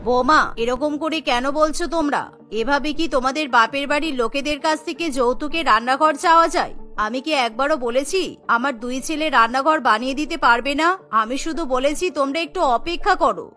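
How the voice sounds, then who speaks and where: Pleading cartoon mother-in-law, hurt and placating, bright delivery, music underneath female performer. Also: high voice, normal speed, voice pushed down. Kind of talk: cartoon storybook dialogue.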